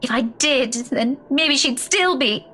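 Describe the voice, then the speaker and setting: Slow, weary, distraught woman. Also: high-pitched, animated, pushed and bright, husky, exaggerated voice. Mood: guilty, upset. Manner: halting, sighing, faltering speech. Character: weary, distraught woman keeping hospital vigil over loved one. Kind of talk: dramatic game dialogue.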